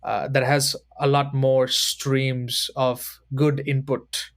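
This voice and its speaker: A man in his thirties from Sri Lanka, a podcast guest who speaks in a measured, thoughtful way and slows down to choose his words. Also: medium pitch, flat delivery. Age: 30s. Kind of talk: reflective conversation.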